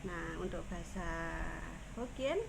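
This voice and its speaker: A woman from Indonesia with a Javanese Indonesian accent, a caregiver teaching phrases in a casual lesson, saying each one slowly twice. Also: lowish voice, lively intonation, vocal fry. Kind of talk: casual language lesson vlog. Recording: noisy ambience.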